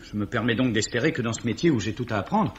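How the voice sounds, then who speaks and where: An actor playing a deferential young gentleman trainee, earnest and formal, in 1950s French radio drama style. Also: mid-range voice, animated, fast talker, slight background noise, close to an everyday voice. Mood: eager, deferential. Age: young adult. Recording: dull old radio recording.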